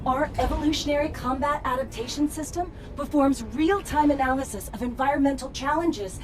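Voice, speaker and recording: An actress playing a polished female corporate promo announcer with a smooth, salesy delivery. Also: sits high, fairly quick, lightly acted.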